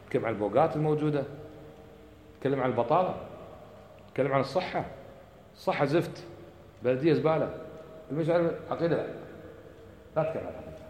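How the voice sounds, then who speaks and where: A man giving an impassioned religious lecture, firing off rhetorical questions and dropping to a low voice for emphasis. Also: darkish tone, slight background noise. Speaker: religious teacher. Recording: echoey hall recording.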